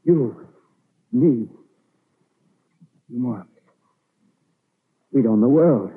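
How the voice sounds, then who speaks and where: An actor playing a grandiose, scheming artilleryman who survived disaster, talking in excitable, rambling tough-guy slang in an old radio drama. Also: mid-range voice, drawn-out words, breathy edge, full character voice. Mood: feverish, power-hungry. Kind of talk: 1930s radio drama acting. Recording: muffled lo-fi 1930s radio broadcast.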